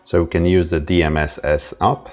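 Very deep-voiced man explaining setup steps. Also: moderate pace, faint music behind. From Ireland. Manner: relaxed, step-by-step with fillers. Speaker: installer demonstrating setup. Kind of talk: casual tutorial narration.

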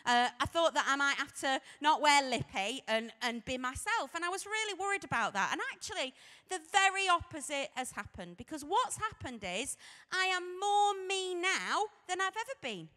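A woman's voice: high voice, very animated, normal speed, pushed and bright. A woman vicar in her forties with a Sheffield accent, telling her own story to a young crowd in a chatty, self-mocking way on a stage, holding a microphone. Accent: Sheffield English. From Sheffield, England. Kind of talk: humorous personal testimony to a youth audience. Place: large hall stage. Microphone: handheld microphone. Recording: echoey hall.

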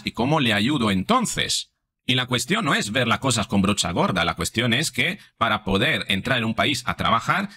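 Bright-voiced man arguing into a studio mic. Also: medium pitch. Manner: argumentative, frequent rhetorical "¿no?" tags. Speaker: psychologist commentator. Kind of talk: opinion commentary over social posts. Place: home studio room. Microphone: studio mic on stand in front.

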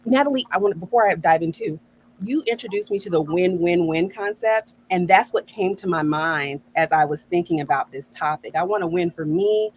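A middle-aged woman hosts a podcast over a video call, affirming her guest and laughing often. Her voice sits somewhat low. A faint hum of room ambience runs underneath. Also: moderate pace, low-quality recording. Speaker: podcast host, neuro coach. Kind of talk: casual podcast conversation. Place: home office.

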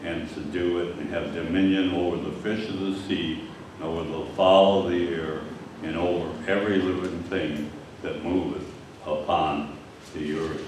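An older man with a Michigan accent preaching a sermon at a lectern, speaking haltingly with many fillers and repeated words. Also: low-pitched, fairly even intonation, background noise. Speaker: pastor. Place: church hall with stage and screen. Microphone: lectern mic from a distance. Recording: echoey hall, distant mic.

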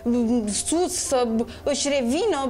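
A woman's voice: high voice, mellow, a little hiss or hum, natural voice. An actress playing a young woman from a poor family who pleads and defends herself. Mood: upset.

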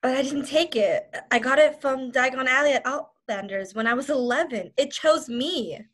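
A woman playing a frightened, earnest wife and mother accused in court, pleading in a husky voice during a script read. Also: sits high, moderate pace, a bit bright. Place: home, video call.

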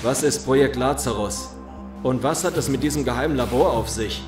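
A man's voice: medium pitch, over music, close to an everyday voice. Man playing a smug villain boss, echoing room. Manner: mock-polite, taunting, deliberate. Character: smug, softly menacing male gang boss interrogator. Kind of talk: audio drama acting.

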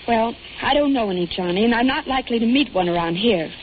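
Woman acting sarcastic young soda fountain girl, fed up, fairly high lively voice, 1940s radio drama, tinny recording. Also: quick pace, a little hiss or hum, close to an everyday voice. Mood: sardonic. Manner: wry, sarcastic, defiant.

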